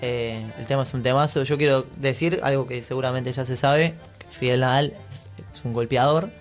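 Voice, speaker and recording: Argentine guy hosting a radio show, chatty commentary, average pitch, faint music behind. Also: steady tone, normal speed. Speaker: radio host.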